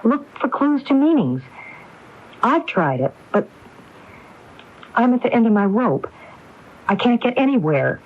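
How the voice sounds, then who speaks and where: An actress playing a determined, anguished widow in a radio mystery drama, speaking in an insistent, probing way. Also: female voice, on the high side, very animated, unhurried, long pauses. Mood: pleading, weary. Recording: dull lo-fi AM radio broadcast recording.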